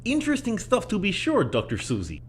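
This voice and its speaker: A woman in an audio play acting an enigmatic, philosophical confidant, speaking in a deliberate, cryptic and theatrical manner. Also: medium pitch, huge pitch swings, a little hiss or hum. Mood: wry, musing.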